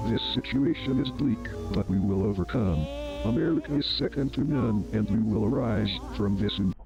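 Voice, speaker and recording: A man's voice: medium pitch, lightly acted. A man playing a solemn, patriotic government broadcaster in an apocalyptic horror video, formally reading scripted emergency instructions. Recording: dull lo-fi broadcast sound. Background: clear music.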